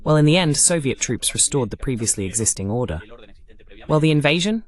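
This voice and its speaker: A man's voice: mid-range voice, lively intonation, a little fast. A man hosting a live political broadcast, arguing emphatically with rhetorical questions and repetition. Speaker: political show host.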